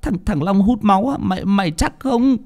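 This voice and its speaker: A man's voice: medium pitch, fairly quick, mellow, voice pushed up, natural voice. A man voicing a timid, bewildered village boy in a horror story, stuttering his questions. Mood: alarmed.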